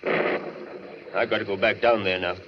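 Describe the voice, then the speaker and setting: An actor with an American accent playing an uneasy, slangy asylum ward attendant in a 1940s radio drama. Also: male voice, fairly low pitch, fairly even intonation, slow. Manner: blunt, slangy. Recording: muffled, noisy old radio recording.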